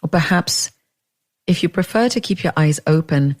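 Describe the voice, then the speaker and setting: A woman leading a guided meditation in a gentle, unhurried way, leaving long pauses between phrases. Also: deep voice, expressive.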